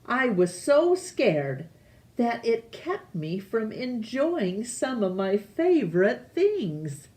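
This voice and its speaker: Very slow-paced woman reading a storybook. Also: a little high, big pitch swings, darkish tone. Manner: slow, deliberate, pausing between phrases. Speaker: storytime reader. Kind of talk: children's picture book read-aloud.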